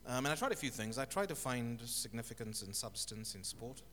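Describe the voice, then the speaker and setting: A middle-aged man from Zimbabwe, a guest telling his life story in an interview, with thoughtful, halting speech and many restarts. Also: mid-range voice, animated, a bit bright. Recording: echoey hall with faint noise.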